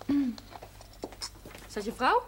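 Cheeky miner's daughter. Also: female voice, high voice, sing-song, fairly bright tone, a little hiss or hum, heavily acted. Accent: Dutch. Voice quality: brash, cheeky, quick-tongued. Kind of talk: 1970s TV drama acting.

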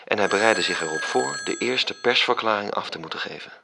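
A man's voice: fairly low pitch, fairly quick, creaky. A man narrating a scripted radio drama as its storyteller.

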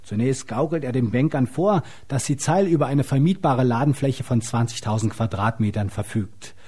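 A man's voice: mid-range voice, moderate pace, warm tone, slightly rough. A man reading scripted documentary narration.

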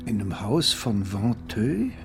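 An actor playing a sceptical police deputy in a crime radio play, asking short, clipped questions. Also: male voice, lowish voice, sing-song, slow, gravelly, full character voice. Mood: alert, questioning. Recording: music bed behind dialogue.

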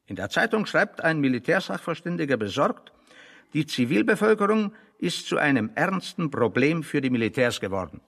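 A man acting in a German radio play as a pedantic, ironic intellectual, speaking deliberately and mock-seriously. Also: a little high, very animated, creaky, fairly bright tone, husky, long reverb tail, heavily acted.

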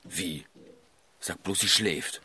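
A man's voice: sits low, slow pace, bright, forceful tone, heavily acted. An actor playing a weary, talkative family father with a sardonic, colloquial manner in a naturalistic radio drama. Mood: teasing, curious.

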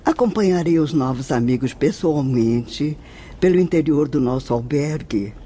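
A woman playing a kindly, serene spirit nun in a Brazilian radio drama, speaking gently and maternally with a Brazilian accent. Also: sits low, lively intonation, slightly rough. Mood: warm welcome. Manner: slow, gentle, maternal, deliberate. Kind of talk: Brazilian radio soap acting.

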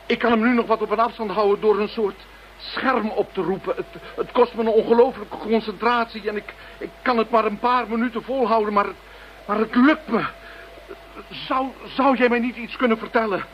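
An actor playing a tormented man who fends off a mental intruder, halting and repeating words under strain in a radio play. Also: high-pitched, steady tone, natural voice. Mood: strained, pleading. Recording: muffled radio play, faint noise.

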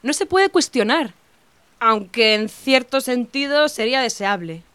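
An actress plays a self-assured mother in middle age, from the ruling class of an audio drama. Her fairly high voice talks down patronisingly, recorded dry and close. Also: expressive, unhurried, fairly bright tone, clear, resonant tone, dry recording. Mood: condescending. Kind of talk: scripted audio drama acting.

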